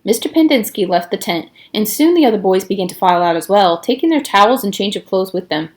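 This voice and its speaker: A woman reads a children's novel aloud as narrator. Her voice sits at a middle pitch, and she gives the story an expressive, emphatic delivery. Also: fast. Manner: acts out dialogue with emphasis.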